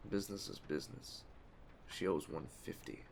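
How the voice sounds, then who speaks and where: A man playing a calm, commanding aging mafia boss in an ASMR roleplay, measured, streetwise and gravelly. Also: low-pitched. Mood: businesslike, calm. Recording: clear ambient storm noise behind. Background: storm ambience.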